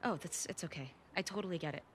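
Actress as a low-voiced, candid young woman. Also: slight background noise, natural voice. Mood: sheepish, teasing. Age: young adult. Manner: casual, halting. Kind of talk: naturalistic video game acting.

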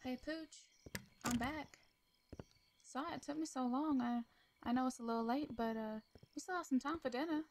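A woman playing a gentle Southern farm woman, higher-pitched. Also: moderate pace, long pauses, slight background noise. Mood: searching, worried. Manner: soft, hesitant, folksy endearments. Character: caring young female farmer, gentle, folksy, protective. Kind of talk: intimate roleplay audio drama acting.

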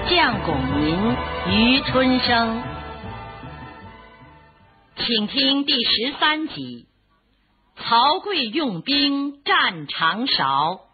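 A woman announcing a radio drama in a formal, measured, declamatory scripted style with careful articulation. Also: fairly high pitch. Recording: muffled lo-fi broadcast audio. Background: music.

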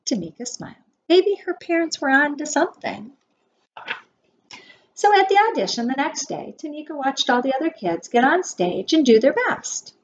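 A woman in her forties reading a picture book aloud to preschoolers as their teacher, expressively and with measured emphasis on key words. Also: high voice, big pitch swings. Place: small wood-panelled room indoors. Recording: dull webcam audio with faint noise.

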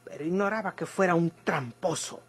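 Stern, low-voiced Mexican mother. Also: brisk, husky. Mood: stern, indignant. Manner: scolding, proper. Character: stern, respectable middle-aged mother, boarding-house owner. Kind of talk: golden-age Mexican radio drama acting. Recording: old radio recording, faint noise.

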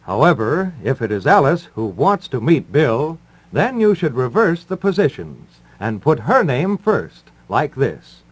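A man hosting and announcing in 1950s radio style, wry and formal, with deadpan delivery. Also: mid-range voice, measured pace, no echo, low-quality recording. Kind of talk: 1950s radio patter, mock-serious advice and live ad reads.

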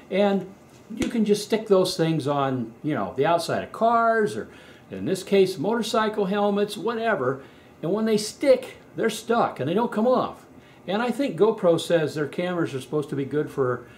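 Middle-aged American man, higher-than-average voice with lively inflection, conversational how-to presenter walking through steps. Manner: conversational, step-by-step explaining. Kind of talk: casual explanatory demonstration. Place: indoors in a home garage workshop. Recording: echoey garage with background noise.

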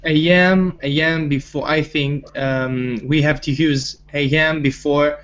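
Halting man from Italy, muffled call. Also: a little high, steady tone, fairly bright tone. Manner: halting, searching for words. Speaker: student. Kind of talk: online class answers. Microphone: video call. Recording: muffled video call audio.

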